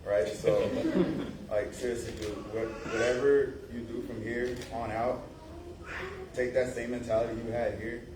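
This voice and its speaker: A man's voice: medium pitch, level tone, a little slow. A man giving a casual, earnest farewell speech to a colleague at a ceremony, full of fillers like "man" and "dude", speaking without a mic. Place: large room at a ceremony. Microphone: no mic, recorded from a distance. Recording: echoey room from a distance, with noise.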